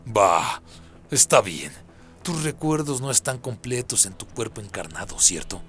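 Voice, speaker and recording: A man's voice: fairly low pitch, expressive, measured pace, a bit bright, faint music behind. A man voicing a proud, sly fallen angel in a radio play, taunting and persuasive. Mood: scornful.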